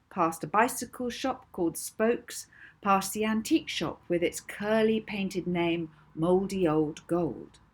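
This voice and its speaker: A woman reading a children's novel aloud as an expressive audiobook narrator. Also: lowish voice, slightly rough.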